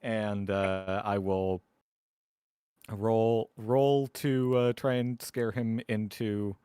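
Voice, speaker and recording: A man's voice: lowish voice, fairly even intonation, very slow, dark tone, clean tone, no echo, clean studio sound. A man talking casually as a player in a tabletop roleplaying game.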